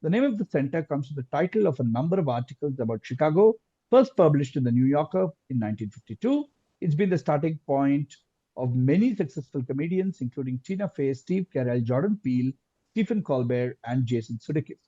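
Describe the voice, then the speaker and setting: Male quiz host, mellow husky voice, deliberate question reading, close dry sound with dull lo-fi call audio. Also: a little high, expressive, no room sound. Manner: deliberate, repeats answers and phrases. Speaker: quizmaster.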